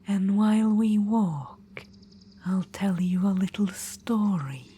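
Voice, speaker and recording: A woman voicing an ancient, proud witch-queen in an ASMR fantasy roleplay, whispering softly into a close mic and calling the listener darling. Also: mid-range voice, slow pace, breathy edge. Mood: storytelling. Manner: soft unvoiced whisper throughout.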